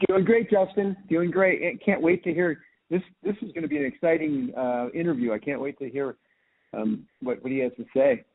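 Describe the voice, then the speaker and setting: A man's voice: fairly high pitch, lively intonation, normal speed, vocal fry. A man chatting casually as a podcast co-host. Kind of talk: casual chat. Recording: tinny phone-like radio sound.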